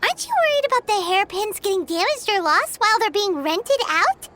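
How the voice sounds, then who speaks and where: A woman's voice: extremely high pitch, big pitch swings, a little fast, noisy background. An actress voicing a tiny, chirpy, nosy female companion sprite in a video game who refers to herself in the third person. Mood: chirpy, curious. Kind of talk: game cutscene voice acting. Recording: game audio with loud music bed.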